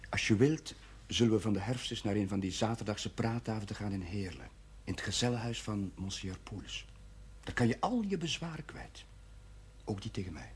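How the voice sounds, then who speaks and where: An actor playing an earnest, admonishing village chaplain in a television drama, with a firm way of talking. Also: male voice, lowish voice, animated, normal speed, darkish tone. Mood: calm, firm. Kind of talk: 1970s television drama acting. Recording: noisy 1970s TV soundtrack.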